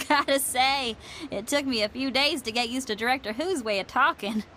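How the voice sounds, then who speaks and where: A woman's voice: high-pitched, measured pace, pushed and bright, a little hiss or hum. A woman playing an easygoing, confident young rock musician in a video game, talking in a casual, folksy way. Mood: amused.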